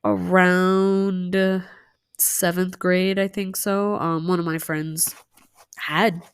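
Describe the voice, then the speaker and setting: A woman's voice: on the low side, little pitch movement, takes it slowly, smooth, no echo. A young woman chatting casually with many fillers and asides while telling a story time over a nail tutorial.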